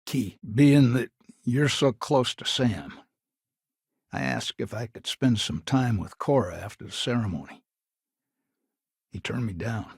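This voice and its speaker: Proud old frontier granddad for a game, gravelly n gruff, stubborn, folksy, very dry sound. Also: male voice, medium pitch, a little slow, long pauses, a little mellow, dry recording, heavily acted. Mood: wistful. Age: older. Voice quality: gravelly, husky. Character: proud, stubborn retired patriarch of a frontier founding family. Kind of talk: video game character dialogue.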